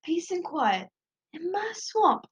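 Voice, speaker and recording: A woman's voice: very high-pitched, very animated, slow pace, voice pushed up, full character voice. A woman voicing a blunt, solitary male ogre in a children's storybook reading. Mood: longing, insistent. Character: grumpy, solitary male ogre guarding his privacy. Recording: muffled, dull lo-fi home recording.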